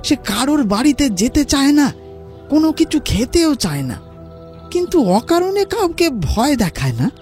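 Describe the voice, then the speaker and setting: An actor voicing an awestruck, anxious villager in an audio horror drama, telling of troubles in a halting, dramatic way. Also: high voice, big pitch swings, a little mellow, soft background music. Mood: troubled, confiding.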